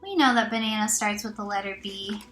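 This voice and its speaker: A woman reading a storybook aloud as a teacher would, expressive and child-directed, with encouraging asides. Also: mid-range voice, fairly quick. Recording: roomy echo, faint music.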